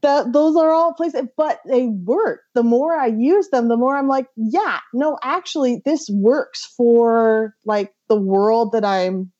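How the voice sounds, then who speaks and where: Woman, author guest, casual and animated, mellow tone, slightly dull recording. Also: fairly high pitch, slow pace, hardly any pauses. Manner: giggly, animated storytelling with frequent "like". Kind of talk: casual podcast chat.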